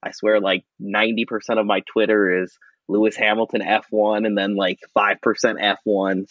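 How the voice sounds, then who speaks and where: A man's voice: on the low side, flat delivery, normal speed, no echo. A man in his thirties from Wisconsin with a noticeable Wisconsin accent, chatting casually as a podcast guest over a call, rambling with lots of fillers. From Wisconsin, USA. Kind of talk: casual chat. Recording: dull, lo-fi audio.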